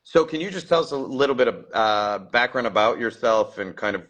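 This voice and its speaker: Bright-voiced man hosting a livestream. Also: mid-range voice, lively intonation, fairly quick. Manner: chatty, filler-heavy, repeats words. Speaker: livestream host. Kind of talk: casual livestream interview.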